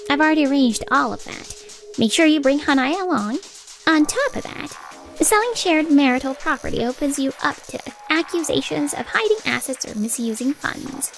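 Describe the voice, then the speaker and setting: Manga dub secretary, female actor: high voice with some fry, animated, stern and businesslike, reproachful. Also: moderate pace, soft background music. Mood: insistent. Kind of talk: expressive manga dub voice acting.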